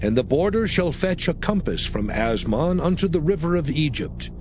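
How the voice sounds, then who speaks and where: An actor playing a solemn biblical leader, proclaiming law in a measured, declamatory manner in a dramatized scripture reading. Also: male voice, a little high, big pitch swings, noisy background.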